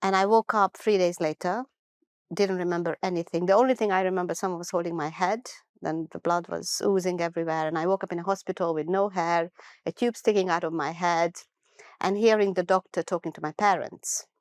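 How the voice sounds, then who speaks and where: A middle-aged woman with a Hungarian accent speaks in an earnest, measured way, pausing to gather her thoughts. Her voice sounds smooth and clear, pitched slightly low, in a dry, close-miked sound. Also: steady tone, no echo. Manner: earnest, unhurried storytelling with thoughtful pauses and restarts. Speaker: guest. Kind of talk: personal life story in an interview.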